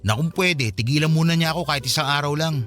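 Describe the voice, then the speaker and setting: A man's voice: fairly high pitch, fast talker, fairly bright tone, faint music behind, lightly acted. A man acting a frustrated young boyfriend in a Filipino radio drama, venting in a conversational, complaining way with a Filipino accent. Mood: regretful.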